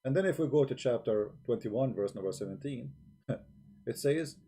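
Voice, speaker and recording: Man, live-stream host, mellow voice, blunt challenging talk, broken English, desk microphone. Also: mid-range voice, expressive, moderate pace, breathy edge. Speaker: livestream host.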